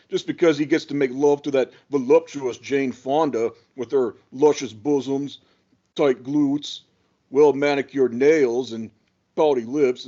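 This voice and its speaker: Man acting an indignant, mellow-toned wrestler. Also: medium pitch, lo-fi sound, natural voice. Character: indignant, foul-mouthed, loyal pro wrestler man. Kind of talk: comedic audio play acting.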